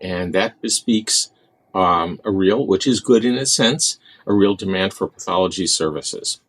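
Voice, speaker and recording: Very deep-voiced man, measured and formal. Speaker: physician interviewee. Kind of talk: unscripted interview commentary.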